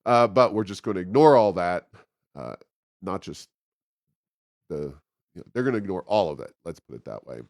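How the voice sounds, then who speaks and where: Low-pitched man, halting policy commentary. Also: animated, creaky, a little mellow, no room sound, studio quality. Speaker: podcast host. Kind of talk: political policy commentary in conversation.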